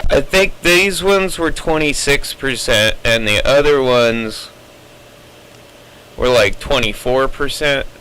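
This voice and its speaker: A man's voice: mid-range voice, slow pace, sharp tone, smooth, low-quality recording. A man chatting casually over CB radio, explaining his opinions at length in a rambling way. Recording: CB radio chatter with faint noise.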